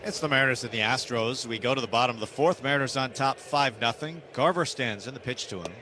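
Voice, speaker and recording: A man's voice: mid-range voice, fast. A man doing live radio play-by-play commentary of a baseball game. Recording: steady background ballpark noise. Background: outdoor stadium ambience.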